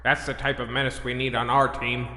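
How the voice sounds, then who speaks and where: A man's voice: medium pitch, flat delivery, brisk, bright, forceful tone, natural voice. A man playing a grandiose, petulant, gloating supervillain in a comic audio drama. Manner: melodramatic, gloating, pompous. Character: theatrical, grandiose, petulant male cartoon supervillain scheming monsters. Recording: echoey reverberant room with background noise.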